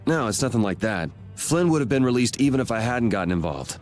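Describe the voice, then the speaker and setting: A man's voice: mid-range voice, very animated, fast talker, lightly acted. An actor playing a cool, sardonic young swordsman in a video game, talking in a dry, casual way. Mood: casual, wry. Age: young adult. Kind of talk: dramatic video game voice acting. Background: background music.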